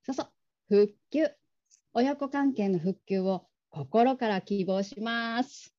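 A woman's voice: medium pitch, slow pace, dark tone, lightly acted. A woman playing a young adult daughter who casually teases and wheedles her father in a radio drama. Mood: teasing, coaxing. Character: coaxing, teasing grown daughter pleading with her father. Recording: dull lo-fi audio.

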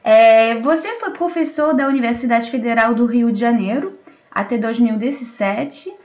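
Higher-pitched woman, panel host, semi-formal, halting delivery with self-corrections, dull lo-fi call sound. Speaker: host and moderator. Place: remote video call. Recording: dull lo-fi online call audio.

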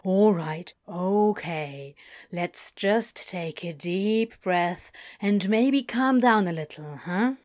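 A woman voicing a laid-back teenage boy who talks in a low, unhurried drawl. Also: animated, a little mellow, no echo, clean studio sound. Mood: soothing.

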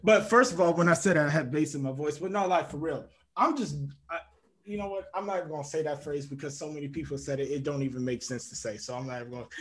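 A man's voice: fairly high pitch, fast talker, fairly bright tone. A young man co-hosting a podcast, talking casually and laughing often, with hesitant restarts when he turns reflective. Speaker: podcast co-host. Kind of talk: casual conversational debate.

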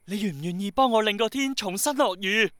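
A man's voice: sits high, brisk, pushed and bright, no room sound, studio quality. An actor playing an eager, earnest, insistent young man in a radio drama, with a Hong Kong accent. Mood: excited, awed. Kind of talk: Cantonese radio drama acting.

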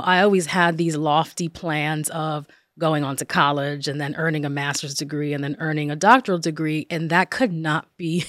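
Middle-aged New woman, lowish voice, dry close sound; upbeat, chatty guest. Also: no room sound. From northern New Jersey. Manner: warm, enthusiastic, lots of ums. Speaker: university director, guest. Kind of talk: personal storytelling in conversation.

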